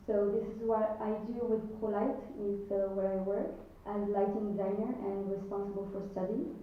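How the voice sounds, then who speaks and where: A woman's voice: mid-range voice, flat delivery, moderate pace. Hesitant woman from Algeria, echoey hall. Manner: hesitant, many fillers, laughs often. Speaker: lighting designer giving a short talk. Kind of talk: timed slide presentation. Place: large echoey event space. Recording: distant, echoey, muffled event audio.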